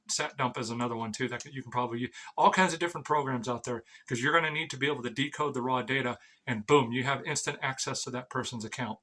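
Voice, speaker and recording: A man's voice: mid-range voice, bright, forceful tone. A man giving a rambling, informal explainer as an amateur vlogger, blunt and sweary, often stammering over repeated words.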